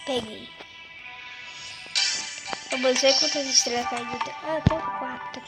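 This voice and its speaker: A woman's voice: high voice, steady tone, takes it slowly. A young girl with a Brazilian Portuguese accent and a cold, giving casual commentary over her own gameplay. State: stuffy with a cold. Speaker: gaming video host. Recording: loud music over the voice. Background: loud music.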